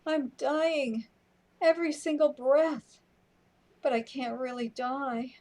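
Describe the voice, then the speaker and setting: A woman plays a wry, weary female companion in a sci-fi radio drama. Her voice sits fairly high, and she takes her lines slowly and deliberately. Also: a little mellow. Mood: anxious, despairing. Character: unhurried, matter-of-fact companion, wry and weary. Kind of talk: radio play acting.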